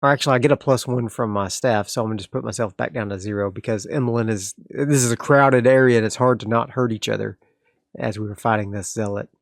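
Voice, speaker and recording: A man with a medium-pitched, gravelly voice plays a tabletop role-playing game by himself. He talks through his decisions in a casual way, moving between narration and rules. The sound is close and dry, with little room around the voice. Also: fairly even intonation, fast, no room sound. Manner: casual, narrates moves and reads rules aloud. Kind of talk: solo tabletop actual-play commentary, thinking aloud.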